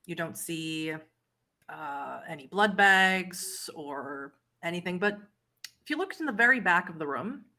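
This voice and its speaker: A woman's voice: fairly low pitch, lively intonation, a little slow, pushed and bright. A woman game master narrating a tabletop roleplay game in a composed, descriptive manner.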